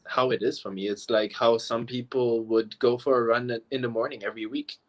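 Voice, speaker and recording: A man's voice: mid-range voice, steady tone, brisk. A young man from Taiwan, a professional dancer, chatting easily as a guest on a live video call and leaning on casual fillers. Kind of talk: casual interview chat. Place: remote live chat.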